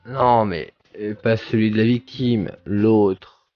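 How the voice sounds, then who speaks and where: A man playing an exasperated, self-assured police inspector in a comedic audio drama, with a weary, deadpan delivery. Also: fairly low pitch, slow, highs cut off. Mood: exasperated, bossy. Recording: music under the voice.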